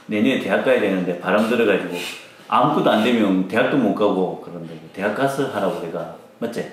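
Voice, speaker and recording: A man's voice: fairly low pitch, fairly quick, very echoey, a little hiss or hum. A middle-aged man with a Gyeongsang accent, a teacher hosting a casual Q&A and teasing while reading viewer questions. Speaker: academy teacher and channel host. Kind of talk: casual Q&A chat. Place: small classroom indoors.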